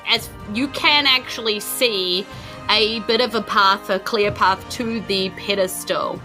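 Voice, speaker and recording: A woman's voice: medium pitch, slow pace, faint music behind, low-quality recording. A woman with a New Zealand accent running a tabletop game as dungeon master, patiently explaining the rules.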